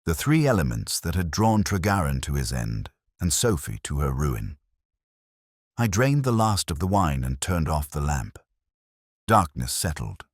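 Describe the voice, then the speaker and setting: A man reading a scripted first-person detective story in a brooding, measured, literary manner. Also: extremely low pitch, big pitch swings, dry recording, clean studio sound. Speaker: audiobook-style narrator.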